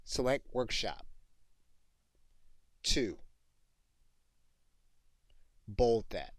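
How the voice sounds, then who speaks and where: Guy doing a software tutorial, mid pitched voice, deliberate delivery, really dry sound. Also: very animated, dry recording. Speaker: software training instructor. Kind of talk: instructional screencast tutorial with dictated commands.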